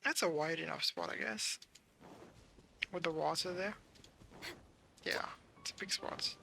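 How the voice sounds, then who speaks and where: A man's voice: on the high side, a little slow, gravelly, pushed and bright, slight background noise. A man streaming a video game, giving casual, musing and somewhat hesitant live commentary. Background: game music.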